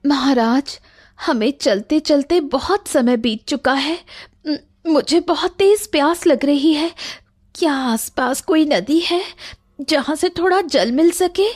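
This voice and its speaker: A woman voicing a gentle, earnest queen in a cartoon fairy tale, with an apologetic, pleading manner. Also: high-pitched, slow, voice pushed up. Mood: tired, thirsty.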